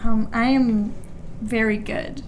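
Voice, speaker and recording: A woman's voice: on the high side, steady tone, takes it slowly, a little mellow, slight background noise. A young adult woman co-hosting a podcast in casual chat, giggly and dryly ironic. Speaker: podcast co-host.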